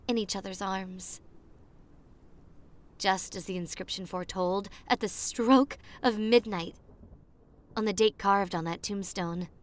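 A young woman playing a popular college girl on a spooky romantic date, in an ASMR roleplay with a hushed, dreamy manner and dramatic pauses. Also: mid-range voice. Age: young adult.

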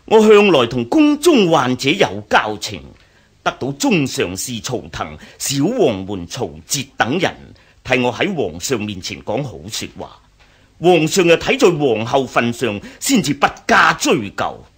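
Radio drama villain: haughty Han official, Hong Kong Cantonese, deliberate pace, highly animated, scornful and vindictive. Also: male voice, breathy edge, full character voice. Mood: resentful. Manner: haughty, scornful snorts and chuckles. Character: arrogant, vindictive elder brother, powerful Han dynasty official.

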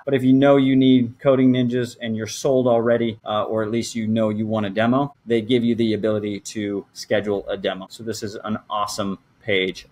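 A man in his thirties hosting a show, talking through things step by step in a conversational, explanatory way. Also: mid-range voice. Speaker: podcast host. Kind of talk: conversational walkthrough for a marketing show.

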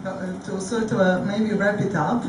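A woman's voice: medium pitch, little pitch movement, moderate pace, recorded from a distance, noisy background. A woman moderating a panel, speaking hesitantly with many fillers. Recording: echoey hall recorded from afar.

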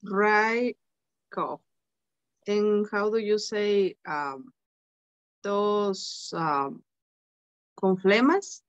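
Adult woman learner, Spanish native, halting reading, slow and muffled. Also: mid-range voice. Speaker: English student. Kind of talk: reading aloud in an online English lesson.

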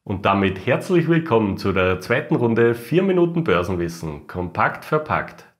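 A man, hoarse from a cold, giving a brisk, lightly ironic scripted market explainer straight to camera in a small room. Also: on the low side, sing-song. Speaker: trading educator.

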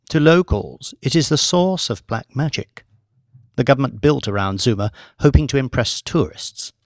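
A man reading a scripted audiobook story in a measured, deliberate storytelling manner. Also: medium pitch, no room sound, clean studio sound. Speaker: audiobook narrator, author reading own book.

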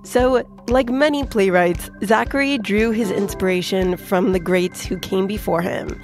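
A woman's voice: mid-range voice, a little slow, soft background music. A woman hosting a children's science podcast, explaining things in a playful, scripted narrating style. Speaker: podcast host.

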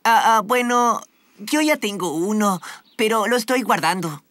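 A woman's voice: medium pitch, expressive, a little slow, noisy background, lightly acted. A woman voicing a possessive, self-centred young cartoon boy with an insistent, childlike manner. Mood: evasive. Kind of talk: cartoon dubbing in Spanish.

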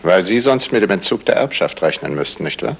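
A composed male police detective in a seventies radio mystery. His voice is very low and gravelly. He questions people formally, with measured and pointed phrasing. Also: expressive, exaggerated voice. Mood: probing, composed. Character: probing, composed male Scotland Yard detective. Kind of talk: 1970s German radio crime drama acting.

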